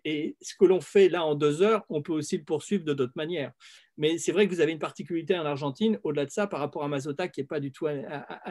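Male host of a video-call panel, courteous and halting, lightly raised pitch. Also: darkish tone. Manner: polite, hesitant, repeats words. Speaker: moderator. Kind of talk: online panel moderation.

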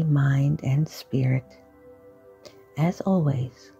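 A woman guiding a sleep meditation in a slow, soft, partly whispered voice. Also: low voice, vocal fry, lo-fi sound. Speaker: meditation guide.

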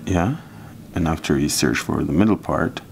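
A man from Jamaica walking through a fishing knot step by step in a relaxed, casual tutorial. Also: rumbling low, moderate pace, vocal fry, slight background noise. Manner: relaxed, step-by-step instruction with counting. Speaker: fishing channel host.

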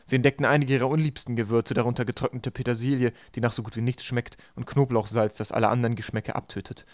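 A man's voice: medium pitch, fairly even intonation, fast, dry recording. A man reading a children's novel aloud as scripted audiobook narration. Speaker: audiobook reader.

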